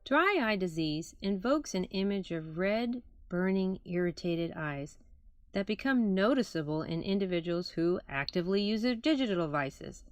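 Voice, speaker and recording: A woman's voice: fairly low pitch, dry recording. A woman, an optometrist, reads her own clinical article as formal, explanatory scripted narration.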